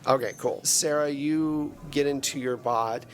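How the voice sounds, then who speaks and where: A man's voice: mid-range voice, steady tone, unhurried. A man narrating a tabletop game as game master in a casual, conversational way.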